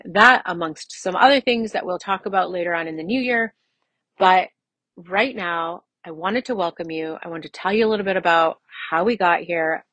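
A woman in her forties speaks in a fairly low voice, recorded dry with hardly any room around it. She hosts a podcast on her own, chatting personally to her listeners. She leans on key phrases, saying them again for emphasis. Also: fairly bright tone, dry recording. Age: mid-40s. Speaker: podcast host. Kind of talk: solo podcast talk, conversational and personal.

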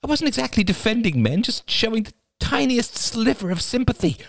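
A rattled young woman writer, stammering and defensive, her voice lifted high with a lively, swooping pitch, as a male narrator puts her on. She sounds dry and close to the mic. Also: a little fast, vocal fry, no room sound. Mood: indignant. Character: anxious young woman writer under attack.

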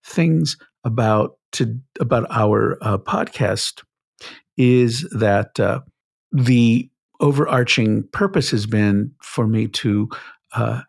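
A man hosting a podcast as a rabbi, speaking slowly with many hesitant fillers in casual interview chat. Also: medium pitch, vocal fry, dry recording, studio quality. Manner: slow, halting, many uh fillers.

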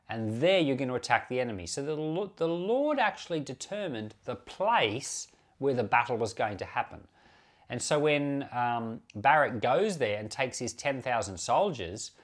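Male pastor-podcaster, mid-pitched, informal Bible commentary, conversational and unscripted with asides. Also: fairly bright tone. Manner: conversational, unscripted, explaining with self-corrections and asides.

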